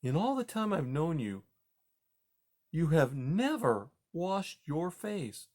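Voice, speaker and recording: A man voicing a gentle young female mouse who speaks softly and earnestly. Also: a little high, big pitch swings, slow pace, pitched above the performer's own voice, full character voice. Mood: pleading. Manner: soft, pleading.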